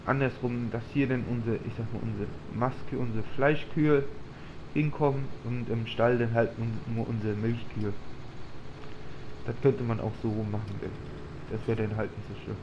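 A man with a slight cold chats his way through a farming game, thinking aloud in a loose, rambling manner. His voice sits at a middling pitch. A steady hum of noise runs under the recording. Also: normal speed. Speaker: gaming channel host. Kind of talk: casual let's-play commentary. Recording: audible background noise.

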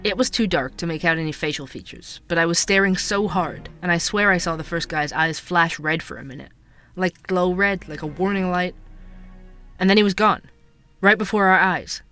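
A man's voice: high voice, moderate pace, bright, forceful tone, soft background music. An actor playing an earnest young male conspiracy podcaster, narrating a scripted audio drama like a storyteller. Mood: recounting, intrigued. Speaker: podcast host.

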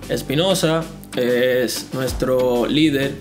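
A young man, an esports player, speaking calmly and matter-of-factly in a documentary interview. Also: medium pitch, slow. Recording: background music under voice.

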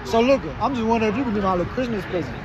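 A man's voice: sits high, rapid-fire, lo-fi sound. Young male street interviewer, teasing and slangy, camera mic outdoors, crowd behind. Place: outdoor parking lot at night by a mall.

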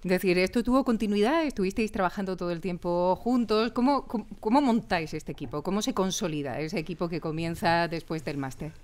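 A woman interviewer with a Castilian Spanish accent. Her voice is slightly low, and she hosts enthusiastically in a studio. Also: a little fast, few pauses. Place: radio drama studio.

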